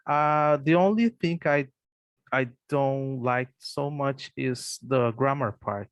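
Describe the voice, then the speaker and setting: A man's voice: medium pitch, slow, no echo. A Brazilian man with a Portuguese accent, a native Portuguese speaker practicing English in a casual online lesson, hesitant with many fillers and restarts. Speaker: English learner.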